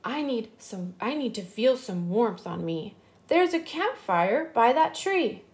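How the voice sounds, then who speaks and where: A woman voicing a fussy, cheerful male snowman in a children's storybook, with exaggerated, drawn-out words. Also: a little high, big pitch swings, darkish tone. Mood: shivering, complaining.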